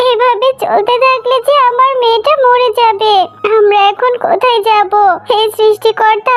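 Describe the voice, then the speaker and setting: A woman voicing a distraught, plaintive jackal mother in a melodramatic cartoon, fearful and pleading for her child. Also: very high voice. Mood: panicked, pleading.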